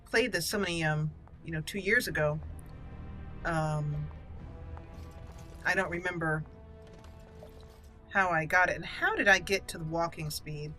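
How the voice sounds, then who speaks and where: A woman's voice: lowish voice, bright, forceful tone. A woman hosting a let's play, chatting and thinking aloud as she plays. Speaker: gamer host, role-player.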